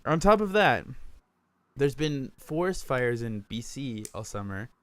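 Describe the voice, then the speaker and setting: A man co-hosting a podcast in casual chat, raspy from a sore throat, joking at his own expense and laughing often. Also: mid-range voice, very animated, unhurried, fairly bright tone, dry recording. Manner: self-mocking, laughs often. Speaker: podcast co-host.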